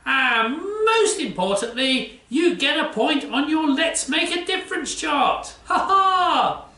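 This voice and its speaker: Bouncy cartoonish doctor, as a presenter puts it on: slow, bright and sharp, heard from a distance. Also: sits high, lively intonation, full character voice. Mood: upbeat instructive. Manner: sing-song, theatrical, exaggerated emphasis. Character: zany know-it-all science doctor, eager and bouncy. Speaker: children's segment presenter. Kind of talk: playful children's church show. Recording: roomy sound from a distant mic.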